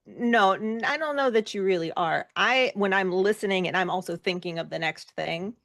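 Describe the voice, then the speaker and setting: A woman speaking casually as an interview guest, reflective and self-deprecating, with an animated way of talking. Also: mid-range voice, moderate pace, dry recording, studio quality. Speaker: guest, actor and performer.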